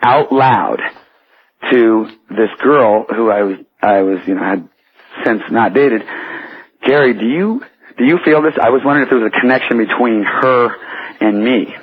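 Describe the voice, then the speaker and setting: An American man calling a radio show, telling a personal story in a halting way over the telephone. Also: medium pitch, husky. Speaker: phone-in caller. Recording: tinny, muddy telephone line.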